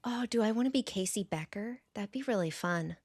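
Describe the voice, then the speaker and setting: Lively-pitched woman co-host, dry close sound. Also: brisk, dry recording. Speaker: podcast co-host. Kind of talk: casual chat.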